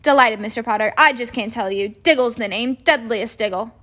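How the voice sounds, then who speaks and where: A woman voicing an excitable, starstruck little male wizard, exclaiming effusively in a storybook reading. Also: fairly high pitch, brisk. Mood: delighted.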